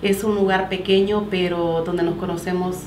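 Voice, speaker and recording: A woman's voice: on the low side, little pitch movement, normal speed, recorded from a distance. A middle-aged woman from El Salvador with a Salvadoran accent, sharing her personal testimony as a guest and quoting scripture earnestly. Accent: Salvadoran Spanish. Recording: echoey room with background noise.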